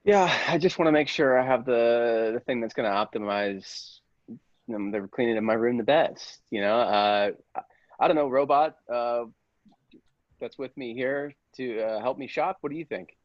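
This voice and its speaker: Man as a halting, sheepish boyfriend, dull dry sound. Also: mid-range voice, no room sound, close to an everyday voice. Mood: indecisive. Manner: halting, stammering. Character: sheepish, defensive boyfriend obsessed with robot gadgets. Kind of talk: improvised comedy sketch.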